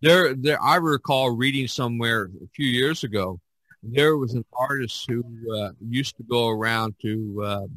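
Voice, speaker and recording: A man's voice: medium pitch, normal speed, a bit bright, no room sound, low-quality recording. Man chatting on podcast, dry close sound. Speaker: podcast host and artist. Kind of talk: casual podcast discussion.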